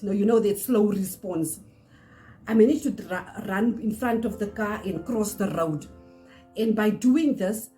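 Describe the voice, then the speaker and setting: A middle-aged woman from South Africa, Afrikaans-speaking, with a South African accent, telling a personal story in earnest, pausing often. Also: medium pitch, warm tone. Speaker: channel host. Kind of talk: personal testimony to camera.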